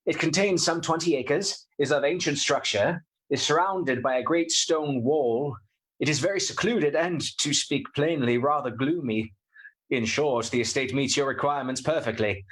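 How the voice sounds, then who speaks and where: An actor playing a polite, earnest young gentleman in a radio play, speaking precisely and formally. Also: fairly high pitch, normal speed, lightly acted, low-quality recording. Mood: businesslike. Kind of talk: radio play acting with diary narration.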